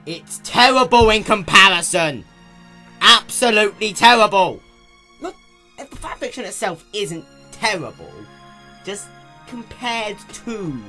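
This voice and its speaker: A man delivers an off-the-cuff review in a casual, rambling manner. His pitch is highly animated, with exaggerated stress and mock-lordly flourishes. Faint music sits behind him. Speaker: fan fiction reader and reviewer.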